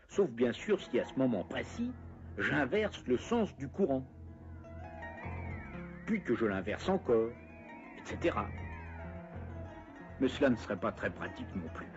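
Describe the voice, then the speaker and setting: A man's voice: medium pitch, muffled recording. A man narrating a scripted educational cartoon in a wry, conversational way, explaining step by step with playful asides.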